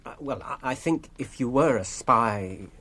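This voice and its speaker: A man playing an earnest, anxious hobbit in a radio drama, speaking hesitantly with a husky voice. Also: mid-range voice, expressive, a little slow, bright, forceful tone. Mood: earnest, flustered. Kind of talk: classic radio drama acting.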